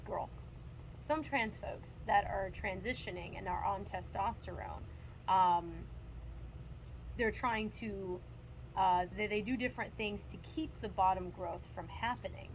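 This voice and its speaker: A woman hosting a casual interview, chatty, affirming and quick to laugh. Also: medium pitch, slight background noise, rough recording. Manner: warm-hearted, laughs often, affirming. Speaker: interviewer, channel host.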